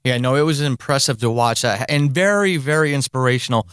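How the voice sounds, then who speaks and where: A man's voice: medium pitch, brisk, fairly bright tone, clean tone, no room sound, studio quality. A man hosting a podcast interview, speaking conversationally in an earnest, encouraging way and repeating words for emphasis. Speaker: podcast host.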